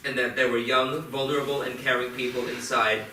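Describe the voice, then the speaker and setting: A man in a staged reading playing a remorseful teenage boy, speaking earnestly and deliberately. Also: mid-range voice, flat delivery, sharp tone, natural voice. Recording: echoey theatre, far mic, faint noise.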